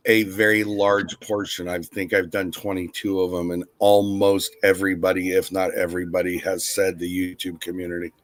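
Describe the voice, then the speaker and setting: A man's voice: low voice, flat delivery, normal speed, few pauses, dry recording. A man co-hosting a casual live-stream chat over a video call, teasing and joking with the host.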